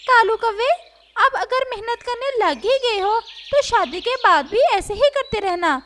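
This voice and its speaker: A woman voices a cartoon bird, the hero's friend, in a high voice. The manner is knowing and teasing, with an admonishing edge. Faint noise runs behind. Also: lively intonation, a little slow, sharp tone, heavily acted. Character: teasing bird friend giving the crow advice. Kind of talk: Hindi cartoon character acting.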